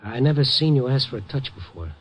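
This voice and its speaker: A man's voice: mid-range voice, lively intonation, fast talker, breathy edge. An actor playing a kindly, world-weary newspaperman, wry and slangy, in 1950s radio drama with noir-style narration. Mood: gentle, probing.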